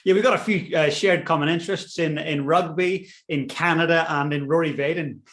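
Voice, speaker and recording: A man's voice: a little high, steady tone, brisk, fairly bright tone. A man hosting a podcast interview, welcoming his guest with effusive praise. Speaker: podcast host. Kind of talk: interview host.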